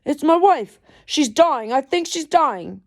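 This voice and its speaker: Desperate husband for a storybook, voiced by a woman: attempted Irish accent, urgent repeated pleas, raised pitch. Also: animated, slow, heavily acted. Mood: panicked pleading. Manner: pleading, urgent, repetitive.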